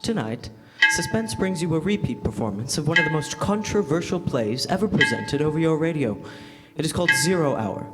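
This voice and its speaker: A formal old-time radio narrator acted by a young man, fairly high in pitch. He delivers suspense storytelling in theatrical, measured phrases, with the long reverb of a stage hall. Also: expressive, loud music behind the voice, close to an everyday voice. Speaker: announcer and narrator. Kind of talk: 1940s radio drama narration. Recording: echoey hall, live stage.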